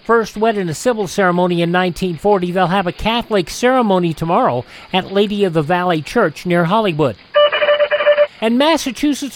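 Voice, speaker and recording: A man's voice: sits high, noisy background. A man hosting a radio show, reading scripted news items and announcements.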